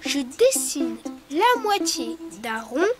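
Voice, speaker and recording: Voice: high-pitched, sing-song, slow pace, heavily acted. An actor voicing a cheerful young child character in a preschool cartoon, explaining drawing simply and encouragingly to children. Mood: calm, instructive. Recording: music playing behind the voice.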